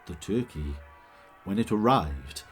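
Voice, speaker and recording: A man giving measured, scripted dramatic narration as the storyteller of a Victorian Christmas tale. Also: sits low, animated, soft background music. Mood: measured, fond.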